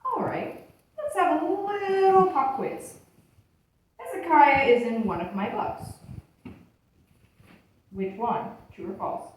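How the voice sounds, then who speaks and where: A woman's voice: high-pitched, expressive, slow pace, pauses often. A woman voicing a bossy, reproachful talking Bible in a church puppet show, admonishing and instructing with emphasis. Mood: instructive. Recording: echoey room, distant mic, faint noise.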